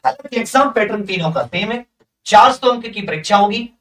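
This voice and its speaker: A man's voice: high voice, lively intonation, a little fast, fairly bright tone, distant mic. A man with a North Indian accent, an exam coaching teacher briefing students emphatically, asking questions and answering them in a familiar big-brotherly way. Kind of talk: exam update addressed to students.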